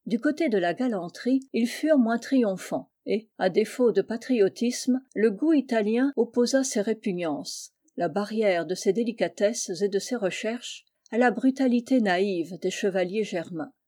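Mellow-voiced woman narrating a historical tale. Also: medium pitch, lively intonation, moderate pace, few pauses. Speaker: audiobook reader.

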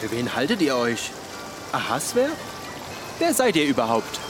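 An actor playing a vain, melodramatic young eighteenth-century poet in a radio drama, with pompous, florid, old-fashioned diction. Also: male voice, mid-range voice, sing-song, normal speed, music in the background, natural voice. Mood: irritated. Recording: loud outdoor ambience, running water behind voice.

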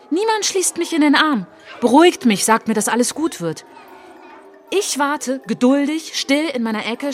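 An actress playing a reflective French woman traveller in a radio play monologue, speaking in a measured, intimate, literary way. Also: sits high.

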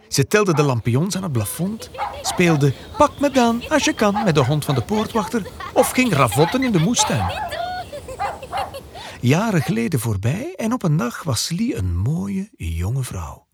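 Lively, expressive male storyteller. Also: a little high, creaky. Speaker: storyteller, narrator. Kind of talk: scripted narration of children's radio play.

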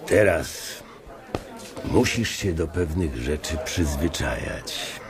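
A smug gravelly banker. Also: male voice, extremely low pitch, expressive, takes it slowly, a crowd in the background, heavily acted. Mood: mocking. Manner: taunting, condescending. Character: smug wealthy male banker, mocking. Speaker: Roder. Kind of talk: radio drama acting.

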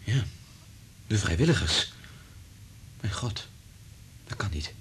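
An actor playing an anxious, principled research scientist in a Dutch radio drama, earnest and emphatic. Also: male voice, on the low side, expressive, takes it slowly, a bit bright, a little hiss or hum. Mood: grave, resolved.

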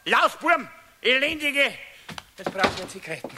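A man's voice: extremely high pitch, big pitch swings, moderate pace. An actor with a Bavarian accent playing a flustered, irritable craftsman husband in a 1970s radio play, blustering and exclaiming. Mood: exasperated. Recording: hissy old radio recording.

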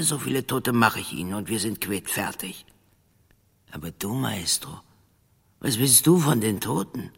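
A man's voice: fairly low pitch, creaky, fairly bright tone, slightly rough, full character voice. A man playing a smug, menacing gangster boss in a German crime radio drama, with oily, chummy, hesitant phrasing. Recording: long reverberant hall sound.

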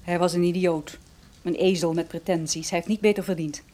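An actress playing a young, coolly self-possessed gang boss in radio play dialogue, controlled and disdainful. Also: female voice, on the low side, steady tone, brisk, warm tone, close to an everyday voice.

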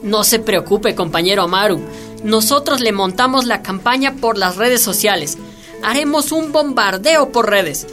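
An actress playing an eager campaign activist in a radio drama. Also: female voice, mid-range voice, lively intonation, moderate pace, a bit bright, soft background music, natural voice.

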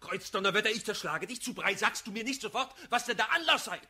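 An actor playing a jealous, hot-tempered, lovelorn gentleman in a classical verse radio comedy, declaiming with impassioned, volatile delivery. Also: sits high, animated, quick pace, husky, full character voice. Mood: exasperated, angry.

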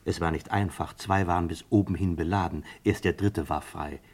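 An actor playing a dutiful, slightly timid henchman in an old German crime radio play, deferential and deliberate. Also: deep voice, steady tone, darkish tone. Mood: dutiful, subdued.

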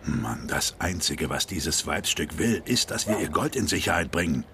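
An actor playing a crooked, foul-mouthed, scornful hustler with a gruff manner in a radio crime drama. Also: male voice, rumbling low, lively intonation, normal speed, background noise. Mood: scornful, irritated.

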